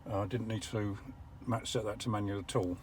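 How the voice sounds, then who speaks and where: An older English man explaining how an electric car works from the driver's seat, measured and a little wry. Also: lowish voice, steady tone, slight background noise. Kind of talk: casual vlog commentary. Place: parked car.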